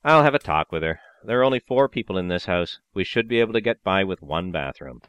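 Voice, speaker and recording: A man's voice: deep voice, lively intonation, fast talker, a bit bright, smooth, dry recording. An actor playing a cheerful, teasing husband and father in an audio drama. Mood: fatherly.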